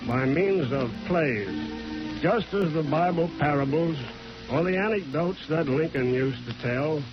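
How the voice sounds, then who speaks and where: Higher-voiced man, formal vintage radio announcer. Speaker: announcer and chairman. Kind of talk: formal 1940s radio introduction. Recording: muffled 1940s radio recording. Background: music.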